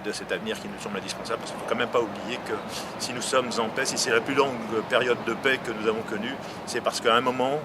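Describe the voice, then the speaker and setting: An older man, a local representative, answers interview questions off the cuff, earnestly and haltingly, often repeating words. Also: mid-range voice, fairly even intonation. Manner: earnest, hesitant, with frequent word repetitions. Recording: noisy outdoor recording with traffic ambience.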